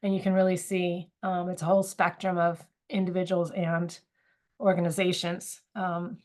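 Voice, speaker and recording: A woman's voice: lowish voice, level tone, normal speed, darkish tone. A woman with a cold giving a webinar lecture, a little sniffly, thoughtful, with frequent fillers and restarts. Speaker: academic presenter. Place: university.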